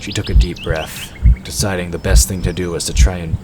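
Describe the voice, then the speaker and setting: A man's voice: low voice, steady tone, brisk, loud music behind the voice. A man reading scripted narration for a fantasy audio drama. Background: forest ambience sound effects.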